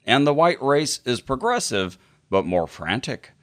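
A man co-hosting a podcast, reading a book aloud dramatically in a deliberate, mock-serious way. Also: mid-range voice, big pitch swings. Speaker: podcast host. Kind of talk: dramatic read-aloud of a book with casual asides.

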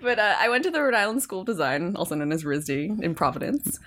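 A woman's voice: medium pitch, big pitch swings, quick pace, a bit bright, a little hiss or hum. A woman illustrator from Connecticut, born in Korea, chatting as a podcast guest in a laid-back way with frequent fillers. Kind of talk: casual interview chat.

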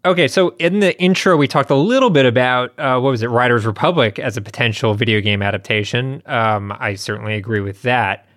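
A man's voice: medium pitch, brisk, hardly any pauses, fairly bright tone. A man hosting a podcast in a casual, conversational way. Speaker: podcast host. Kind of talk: casual podcast chat and ad read.

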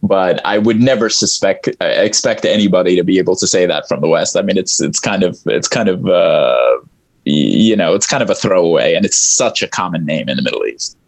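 A man's voice: sits low, animated, a little fast, creaky. A man from Egypt whose first language is Arabic, a guest writer talking in a hesitant way with many restarts. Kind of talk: casual interview talk.

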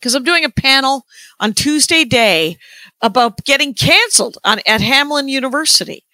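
A woman comedian chatting casually on a podcast, her voice scratchy and tired, with a blunt, deadpan way of talking. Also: sits high, moderate pace, no echo. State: hoarse, tired. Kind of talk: casual chat.

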